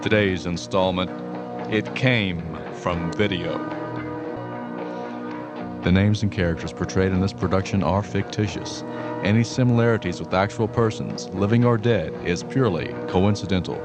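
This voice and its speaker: Read these as a male radio announcer, low-pitched and scripted, over a loud music bed. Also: moderate pace.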